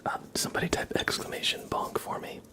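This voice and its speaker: A man doing casual ASMR live-stream chat in a soft, whispery, hushed voice, rambling informally. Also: fast talker.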